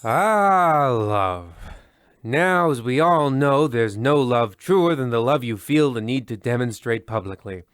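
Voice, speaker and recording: A man's voice: mid-range voice, animated, slow pace, smooth, dry recording, clean studio sound. A man playing a wry, droll, mock-grand host narrating a comic radio play. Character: wry, sardonic storytelling host.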